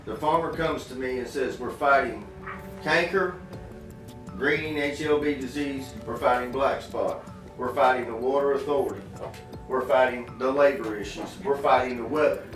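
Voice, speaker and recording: A man's voice: medium pitch, moderate pace, a bit bright. A man from Florida, talking earnestly as an interview guest in a folksy, plain-spoken, emphatic way. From Florida, United States. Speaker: inventor and farm irrigation worker, interview guest. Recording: roomy, distant mic, faint music behind.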